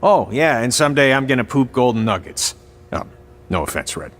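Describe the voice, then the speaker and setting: An actor playing a sarcastic, blunt veteran wrestling trainer in video game dialogue. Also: male voice, medium pitch, big pitch swings, brisk, a bit bright. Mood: mocking.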